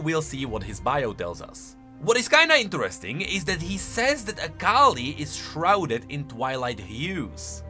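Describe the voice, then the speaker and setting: Male gaming commentator: fairly high, forceful voice, casual and emphatic, reacting and explaining over faint music. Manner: playful, emphatic, swooping delivery. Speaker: streamer and video game lore commentator.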